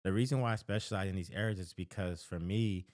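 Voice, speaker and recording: A low-pitched American man speaks as a counselor in a close, dry recording. He lays out advice conversationally, stressing points by repeating them and tagging them with short check-ins to the listener. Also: quick pace, darkish tone, no room sound. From the American South. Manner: emphatic, repeats key phrases for stress; conversational, often checks in with "right?" and "okay?". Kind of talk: direct-to-camera advice talk.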